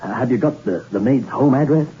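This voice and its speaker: A man's voice: mid-range voice, a little fast, close to an everyday voice. An actor playing a hard-boiled male investigator in a 1960s radio drama, speaking in a clipped, dry, persistent way. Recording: dull, faintly hissy old radio recording.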